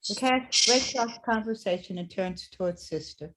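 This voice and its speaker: A woman's voice: medium pitch, moderate pace, heavy background noise. A woman reading stage directions as scripted narration for a staged play reading.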